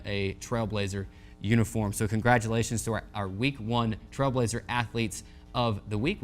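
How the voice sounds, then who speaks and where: An American man hosting a sports show, running through results and highlights in broadcast style. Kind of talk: sports news rundown.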